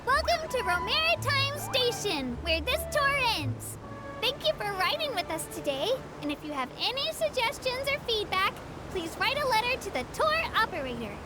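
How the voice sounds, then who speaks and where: A woman's voice: very high-pitched, very animated, moderate pace, over loud music. A woman voicing a cheerful, childlike little creature who works as an eager tour guide in a video game. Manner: earnest, chirpy, childlike. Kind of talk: scripted video game dialogue.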